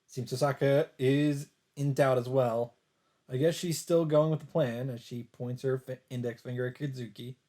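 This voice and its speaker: A man narrating a visual novel aloud as a let's player, in a measured, casual read-aloud delivery. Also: medium pitch, fairly even intonation, warm tone.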